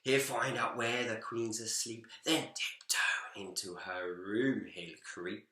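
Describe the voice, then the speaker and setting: Guy doing a scheming storybook crook, sly chuckly plotter, drags words out, really hard bright voice, roomy echo. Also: fairly low pitch, steady tone, slow pace, pitched below the performer's own voice. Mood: sly, plotting. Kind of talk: picture book read aloud. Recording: echoey room.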